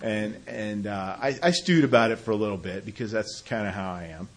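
A man sharing his own recovery story in a halting, self-deprecating way, often restarting sentences and laughing at himself. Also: lowish voice. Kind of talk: personal testimony talk.

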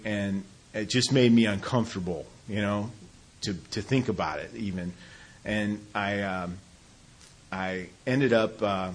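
A man sharing his own recovery story in a halting, self-deprecating way, often restarting sentences and laughing at himself. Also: fairly low pitch. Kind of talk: personal testimony talk.

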